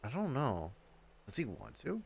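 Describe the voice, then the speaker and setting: A man streaming casual game reaction commentary, haltingly repeating his phrases as he thinks aloud. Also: mid-range voice, big pitch swings, gravelly, breathy edge. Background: game music.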